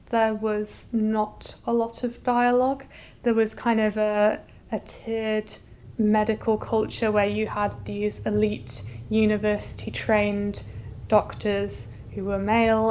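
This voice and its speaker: A woman's voice: mid-range voice, a little mellow. A woman giving articulate, explanatory answers as a curator being interviewed. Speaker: exhibition curator, interview guest.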